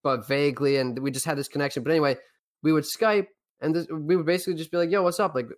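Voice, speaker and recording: A young man from Newfoundland, Canada, with a Newfoundland accent, co-hosting a casual podcast chat and telling rambling, animated stories. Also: on the high side, fast. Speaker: podcast co-host.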